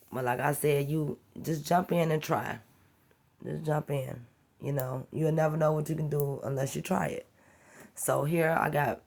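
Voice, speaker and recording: Slightly raspy woman chatting while she cooks. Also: low-pitched. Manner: chatty, rambling and encouraging, talks while working. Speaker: home cooking vlogger. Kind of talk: casual how-to cooking vlog.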